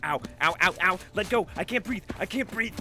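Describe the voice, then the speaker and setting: An actress playing a smug, needling team leader and unbothered sibling in an audio drama. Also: female voice, mid-range voice, animated, brisk, very noisy, natural voice. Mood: strained.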